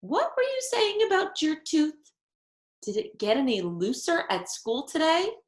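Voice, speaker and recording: Woman reading as patient grandpa, lilting and high. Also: wildly swooping pitch, a little slow, exaggerated voice. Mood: patient, curious. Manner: gently questioning, indulgent. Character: kindly, patient grandfather humoring his granddaughter. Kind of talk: children's storybook read-aloud. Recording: echoey room.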